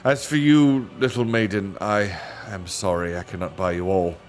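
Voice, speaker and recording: A man's voice: low-pitched, fairly even intonation, moderate pace, faint music behind, lightly acted. A man voicing a stern, commanding bearded nobleman.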